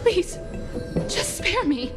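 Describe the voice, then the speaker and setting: A cowardly sneak thief from a video game in a high, very animated voice. She is flustered and stammering, pleading with breathless melodrama over music. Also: takes it slowly, exaggerated voice. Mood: frightened pleading.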